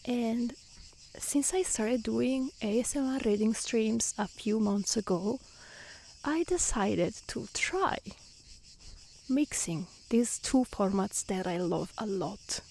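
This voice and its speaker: A woman with an Italian accent speaking softly and calmly in an ASMR style, choosing her words carefully as a non-native speaker, outdoors. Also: fairly high pitch, slow pace, gravelly, a little hiss or hum. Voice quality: soft, gentle voice. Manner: calm, careful delivery in non-native speech. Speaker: streamer and storyteller. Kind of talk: soft-spoken ASMR reading and chat. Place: outdoors in nature near mountains.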